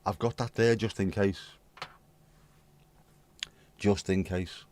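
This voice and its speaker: A hoarse man with a British accent, chatting casually with viewers on his livestream and swearing bluntly. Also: fairly low pitch, fairly even intonation, slow pace, darkish tone. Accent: British English. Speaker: livestream host. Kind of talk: casual chat reading viewer comments.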